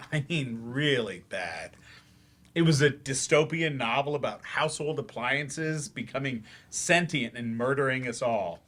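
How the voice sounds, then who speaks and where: Guy acting a worn-out writer turned teacher, mid-range voice, halting and self-deprecating, stage monologue. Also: medium pitch, a bit bright, lightly acted. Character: weary, regretful once-successful novelist turned reluctant teacher. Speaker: actor in a staged play reading. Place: library staged reading.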